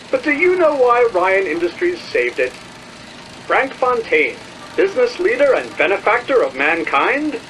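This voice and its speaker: A man's voice: high voice, fairly bright tone, heavily acted, low-quality recording. A man playing a slick, self-righteous 1950s propaganda newsreel announcer in a video game, emphatic and rhetorical.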